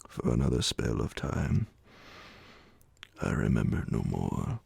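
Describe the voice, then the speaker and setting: A man reading a horror bedtime story in a slow ASMR audiobook style, with a gravelly, breathy voice that often sinks toward a whisper and dramatic, drawn-out phrasing. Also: a bass voice, huge pitch swings, a little mellow, no room sound. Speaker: narrator reading a classic horror story aloud.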